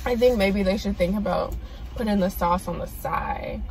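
A woman's voice: mid-range voice, normal speed, a little mellow. A young adult woman giving her frank, opinionated take in a casual food review chat from a parked car. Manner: candid, opinionated, laid-back. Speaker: host's daughter, co-reviewer. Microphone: phone camera on the dashboard, a bit distant. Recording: slightly distant phone mic in a car.

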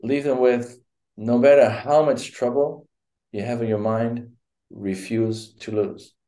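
A man's voice: mid-range voice, steady tone, slow, dark tone, low-quality recording. A middle-aged man with a Dutch accent, a guest speaking on a video call, hesitant with many fillers and restarts. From Netherlands. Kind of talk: casual interview. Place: home room.

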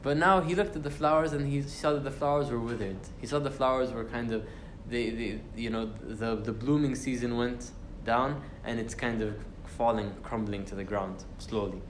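A man's voice: mid-range voice, fairly even intonation, normal speed, few pauses, roomy sound, slight background noise. A young man giving an informal class on the Quran, talking casually with fillers and restarts and reciting Arabic verses. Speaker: Quran study teacher. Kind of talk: informal tafsir class talk. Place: university lecture room.

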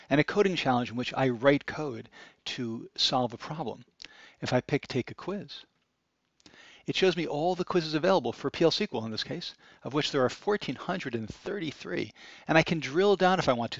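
A man's voice: medium pitch, dry recording. A man narrating a screencast walkthrough of a website, explaining each click step by step in an upbeat manner. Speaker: product demo presenter. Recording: dry, slightly dull sound.

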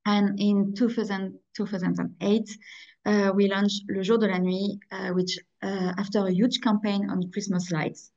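Young woman, strong French accent, gravelly tone, careful webinar presenter on a lo-fi call. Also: medium pitch, flat delivery, a little mellow. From France. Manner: careful, slightly halting, apologetic. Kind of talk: presenting slides over a video call. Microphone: video call. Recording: dull lo-fi video call audio.

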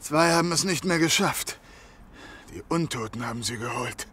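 An actor playing a loyal, slow-witted henchman in a German audio drama, husky and hesitant, eager to please. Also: fairly high pitch, bright, forceful tone. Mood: uneasy, questioning.

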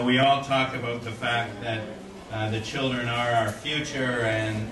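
A man in his forties giving an earnest formal speech at a ceremony, with frequent fillers and restarts, into a stand microphone. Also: mid-range voice. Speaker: corporate speaker at a donation ceremony. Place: indoor room at an event. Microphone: stand microphone at a podium. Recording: roomy, distant-miked event audio with noise.

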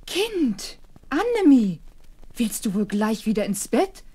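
Lively, fussy nanny. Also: female voice, fairly high pitch, takes it slowly, husky, full character voice. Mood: scolding. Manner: scolding, coaxing. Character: fussy, strict but caring nanny. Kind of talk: children's audio play acting.

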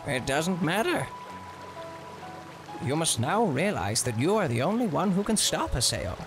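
An amateur fan dubber playing a calm, cryptic young man who quietly advises a girl in an anime dub, speaking in a measured, matter-of-fact way. His tone stays level and unhurried. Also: on the high side, over music. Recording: noisy home recording.

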